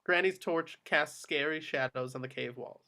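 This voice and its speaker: A man's voice: fairly high pitch, normal speed, gravelly, pushed and bright. A man reading scripted action descriptions as the narrator of a screenplay table read.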